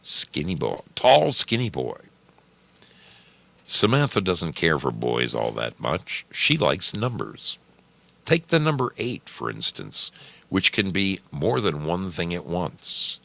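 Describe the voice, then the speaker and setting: A very deep-voiced man tells a story in slow, deliberate phrases. His gravelly delivery is dramatic and suspenseful. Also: big pitch swings, bright, forceful tone, no room sound. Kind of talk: dramatic scripted story narration.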